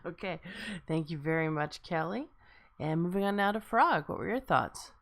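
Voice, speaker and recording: Woman, low pitch, casual livestream host, informal moderating. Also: lively intonation, moderate pace, gravelly. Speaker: host, moderator of a table read.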